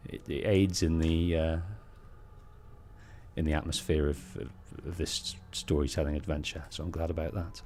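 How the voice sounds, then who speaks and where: A British man with a deep, bassy voice giving measured, polite storytelling commentary as he streams a game, close on the microphone. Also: steady tone, a little mellow, a little hiss or hum. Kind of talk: let's play commentary, storytelling. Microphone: close bass-heavy streaming microphone.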